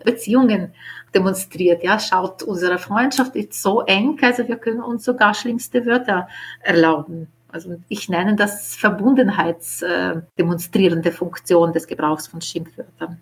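Husky-voiced woman with Ukrainian roots. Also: medium pitch, a little slow. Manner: thoughtful, explanatory, many hesitations. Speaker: linguist guest. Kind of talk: studio interview. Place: studio.

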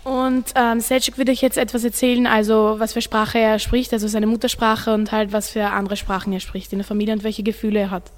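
A woman's voice: a little high, little pitch movement, a little fast, hardly any pauses. A teenage girl informally introducing her classmates as a student presenter.

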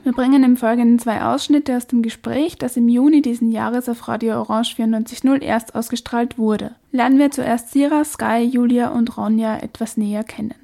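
A woman's voice: a little high, steady tone, normal speed, creaky, hardly any pauses, dark tone. A woman radio presenter reading a scripted introduction.

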